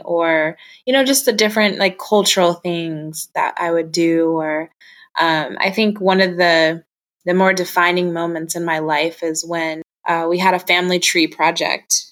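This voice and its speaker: Lower-pitched young woman, candid and reflective. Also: fairly bright tone. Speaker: interview guest. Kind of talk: casual personal storytelling.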